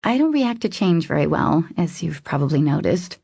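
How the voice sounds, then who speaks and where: A woman narrator voicing a guarded, vulnerable woman in an audiobook romance, speaking softly and hesitantly as she confides. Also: deep voice, sing-song, moderate pace, creaky, husky, dry recording, clean studio sound, full character voice. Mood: apologetic, hopeful. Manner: hesitant, confessional, soft. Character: vulnerable, guarded young woman opening up to her lover.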